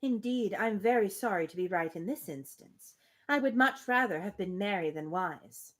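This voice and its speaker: A woman's voice: mid-range voice, expressive, normal speed, close to an everyday voice. A woman reading the part of a gracious, playfully teasing young lady from a novel, in polite, witty, formal speech.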